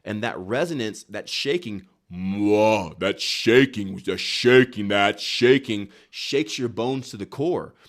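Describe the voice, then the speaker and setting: A man's voice: lowish voice, slow pace, hardly any pauses. A man talking as an interview guest in an emphatic, motivational way, often repeating phrases and posing rhetorical questions. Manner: emphatic, repetitive, rhetorical questions and self-quotes. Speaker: interview guest, wellness guide creator. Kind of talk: animated motivational talk in conversation.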